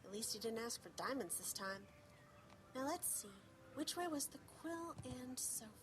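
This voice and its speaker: A woman's voice: high voice, lively intonation, normal speed. A woman voicing a bookish, fretful young female pony in a cartoon, with a dramatic, exasperated manner. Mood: mildly exasperated. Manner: dramatic, exasperated, talks to herself. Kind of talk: animated fan audio drama acting. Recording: played over speakers in a panel room.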